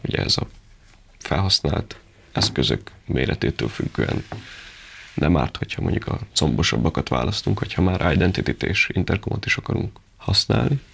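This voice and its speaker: Low, creaky man explaining products. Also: sing-song, fairly bright tone. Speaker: product presenter.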